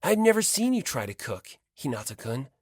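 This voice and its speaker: A man's voice: medium pitch, very animated, moderate pace, no room sound, clean studio sound. A man voicing a cheerful, self-deprecating teenage boy who needles with frivolous, insincere politeness in an audiobook. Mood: eager, probing.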